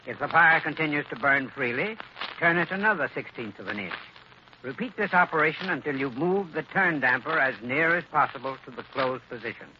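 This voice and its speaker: Higher-pitched man giving vintage radio advice. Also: expressive. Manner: measured, instructive. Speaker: sponsor's heating expert. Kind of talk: 1930s-40s scripted radio advertising talk. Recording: muffled, noisy old radio recording.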